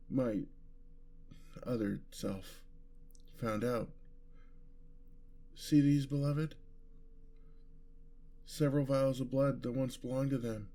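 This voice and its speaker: A man acts out a gentle, romantic male vampire for a fantasy audio roleplay. He speaks slowly at a medium pitch, earnest and tender, with a slightly formal turn of phrase.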